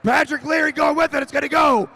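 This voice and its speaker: A man calling a track cycling race live, rising to shouts as the sprint builds. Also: very high-pitched, quick pace, vocal fry, some chatter behind. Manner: excited, shouting names over the finish. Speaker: race announcer. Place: velodrome over a PA.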